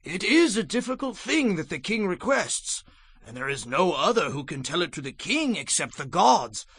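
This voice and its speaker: An actor playing an anxious, deferential servant of a royal court in a dramatized audio Bible, husky and theatrically pleading. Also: male voice, high-pitched, sing-song, moderate pace, a bit bright, dry recording, heavily acted. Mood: anxious protest.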